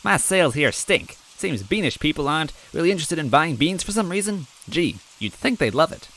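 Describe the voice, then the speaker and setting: A man's voice: on the high side, sing-song, a little fast, a bit bright, pitched above the performer's own voice, exaggerated voice, poor audio. A man voicing a cheery, grinning male bean-man who sells beans from a cart, talking in a chirpy, folksy, friendly way.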